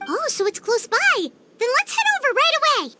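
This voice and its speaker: A woman voicing a small, childlike fairy companion in a video game, chirpy, excitable and caring. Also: extremely high pitch, normal speed, very noisy. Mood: eager. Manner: chirpy, refers to self in third person.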